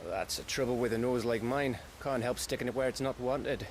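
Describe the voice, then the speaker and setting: An actor playing a wry, rough-edged scout in a video game cutscene, talking in a colloquial, folksy way. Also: male voice, mid-range voice, fairly quick, natural voice. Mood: wry, grateful. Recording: clearly noisy background ambience. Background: ambient noise.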